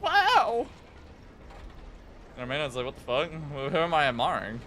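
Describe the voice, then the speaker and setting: A man's voice: mid-range voice, brisk, a bit bright, slight background noise. A man with a New Zealand accent chatting casually and informally on a gaming livestream, rambling and swearing freely. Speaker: live game streamer.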